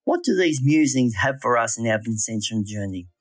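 A man reads a scripted spiritual reflection in an earnest, deliberate manner. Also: mid-range voice, expressive, dry recording, studio quality. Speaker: lay reflection speaker. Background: background music.

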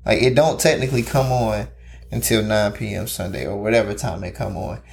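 Homem animado e desabafando, voz rouca. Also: male voice, on the low side, a little fast, vocal fry. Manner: animated, ranting, slangy. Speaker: podcast co-host. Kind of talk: casual chat.

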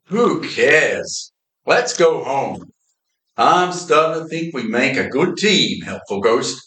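An actor playing a weary, wisecracking detective in an amateur old-time radio drama. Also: male voice, medium pitch, sing-song, slow, a bit bright. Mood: weary, wry. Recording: roomy, echoey amateur recording.

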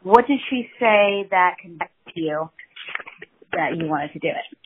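A woman's voice: fairly low pitch, lively intonation, measured pace, a little hiss or hum. A woman hosting a casual interview podcast, teasing and laughing often. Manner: giggly, teasing. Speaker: podcast host. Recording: tinny phone-line sound.

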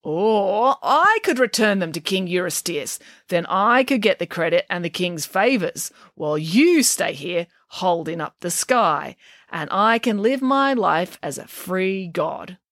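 A woman's voice: on the low side, animated, measured pace, fairly bright tone, no room sound, studio quality. A woman voicing a lazy, scheming male titan god who talks in a smug, offhand drawl, in a storybook reading.

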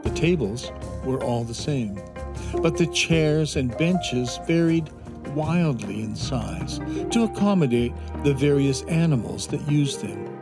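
A man's voice: medium pitch, animated, takes it slowly, gravelly, loud music behind the voice. A man narrating a children's fantasy adventure in a scripted storytelling style.

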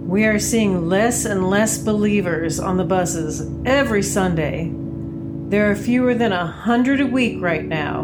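An actress playing a devout, stern woman who leads a congregation of pilgrims, speaking in a measured, pious way in an audio drama. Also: medium pitch, lightly acted. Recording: music behind the voice.

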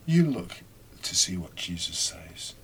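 A man giving a reflective homily, speaking deliberately with pauses and rhetorical questions. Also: low-pitched, very animated, vocal fry, heavy background noise. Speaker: preacher giving a scripture reflection.

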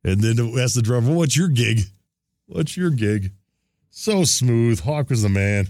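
A man's voice: medium pitch, expressive, unhurried, darkish tone, no echo, clean studio sound. A man hosting a show, giving casual commentary on archive material in an everyday conversational way. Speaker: show host.

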